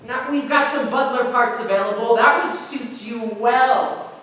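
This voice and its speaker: Condescending male film director played by a man, halting stage delivery, high and distant, very roomy sound. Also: sharp tone, exaggerated voice. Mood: patronizing. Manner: halting, patronizing. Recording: very echoey room, distant mic.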